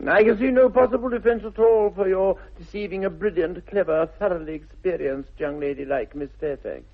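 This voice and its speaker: An actor playing a flippant, idle young English gentleman with an upper-class accent and airy, mock-earnest wit, in 1960s radio comedy style. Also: high voice, fairly quick. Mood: mock indignant. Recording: muffled narrow-band vintage radio recording.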